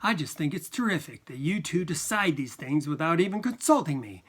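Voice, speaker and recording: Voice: medium pitch, sing-song, fairly quick. A narrator acting an angry, sarcastic young boy. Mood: indignant, sarcastic. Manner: snapping, indignant, sarcastic. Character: angry sarcastic ten-year-old boy, fed up.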